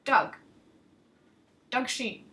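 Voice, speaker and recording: A blunt, indignant soldier out of his depth in a storybook tale, read by a woman. The delivery is bright and forceful, defensive and emphatic. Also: extremely slow.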